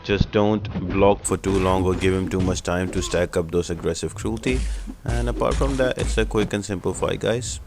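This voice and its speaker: A man's voice: deep voice, fairly even intonation, normal speed, background noise. A man giving game walkthrough commentary in a plainly explanatory, instructional way, as the host of a guide channel.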